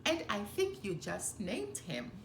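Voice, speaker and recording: A woman playing a gentle, simple, kindly male teddy bear in a children's storybook, earnest and mild. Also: mid-range voice, wildly swooping pitch, takes it slowly, gravelly, roomy sound, a little hiss or hum, full character voice.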